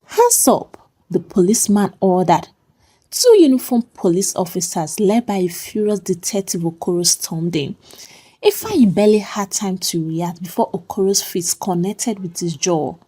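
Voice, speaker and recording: A woman's voice: fairly low pitch, moderate pace, mellow, husky. A woman telling a story as a dramatic scripted narration.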